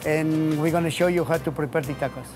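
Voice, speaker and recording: A man's voice: on the high side, steady tone, quick pace, over music. A middle-aged man who owns a market, talking in a plain, friendly way with some hesitant fillers, on a lapel mic in a store and kitchen. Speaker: market owner. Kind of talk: casual interview. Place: grocery store and restaurant kitchen.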